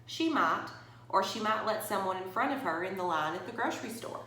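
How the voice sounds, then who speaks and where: A woman reading a storybook as a kind, patient female schoolteacher, gently explaining things to her class. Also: mid-range voice, expressive, fairly quick, echoey room, a little hiss or hum. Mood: encouraging.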